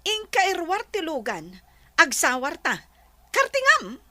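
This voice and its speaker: A woman's voice: extremely high pitch, huge pitch swings, measured pace, sharp tone, no echo, exaggerated voice. A woman playing an imperious, demanding rich madam who bosses her driver about in an Ilocano radio comedy drama. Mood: bossy, impatient.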